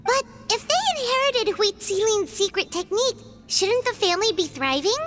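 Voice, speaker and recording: A woman's voice: extremely high pitch, animated, normal speed, a bit bright, soft background music. A woman voicing a tiny, childlike fairy companion in a video game, perky and frank. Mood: curious, encouraging.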